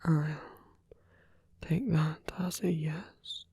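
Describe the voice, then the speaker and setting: A man playing a smitten, idealistic villain in an intimate ASMR roleplay, with a husky, often whispered voice and an earnest, coaxing manner. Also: on the high side, drawn-out words, dark tone, no echo, full character voice. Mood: hushed, coaxing. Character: romantic male villain, smitten, idealistic, urging a lover to flee.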